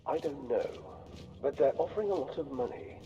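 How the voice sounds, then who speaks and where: Actor as a haughty, animated merchant noble. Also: male voice, a little high, a little fast, highs cut off, close to an everyday voice. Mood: wary. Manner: formal, imperious. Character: haughty, embattled male merchant noble under threat. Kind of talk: dramatic sci-fi game cutscene acting. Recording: clear music behind the voice.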